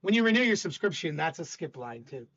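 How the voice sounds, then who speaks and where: An older man with a loud voice hosting a livestream, chatting casually and stammering as he talks to chat. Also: fairly high pitch, sing-song, a little fast, highs cut off. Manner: rambling, stammering, warm toward chat. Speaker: stream host.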